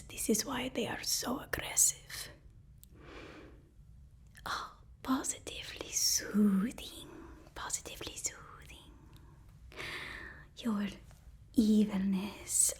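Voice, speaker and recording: A woman playing a fawning, sinister assistant to an evil overlord in an ASMR roleplay, whispering breathily with slow, servile relish. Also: mid-range voice, darkish tone, close to an everyday voice. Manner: obsequious, slow, drawn-out, gleefully servile.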